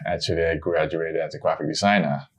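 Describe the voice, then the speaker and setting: A middle-aged man from Hong Kong, a photojournalist speaking in an interview, halting and searching for his words with many restarts. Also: very deep voice, steady tone, slightly rough. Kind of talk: interview, personal reflection.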